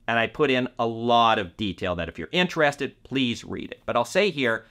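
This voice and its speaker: A man's voice: mid-range voice, fairly bright tone. Man giving an emphatic product review. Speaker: audio reviewer and video host. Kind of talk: review talk to camera, semi-scripted.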